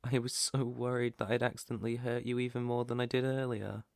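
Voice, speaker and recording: A British man playing a protective, gentle, clueless alpha werewolf in an intimate ASMR roleplay, speaking softly and reassuringly close to the mic. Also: mid-range voice, flat delivery, gravelly, no room sound, natural voice. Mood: concerned, gentle. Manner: soft, tender, unhurried, reassuring. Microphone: close microphone, dry.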